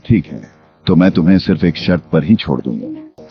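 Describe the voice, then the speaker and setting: An actor playing the stern, avenging ghost of a murdered village headman in an animated moral story, with a commanding, theatrical delivery. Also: sits low, normal speed, creaky. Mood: menacing. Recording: dull lo-fi audio. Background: music.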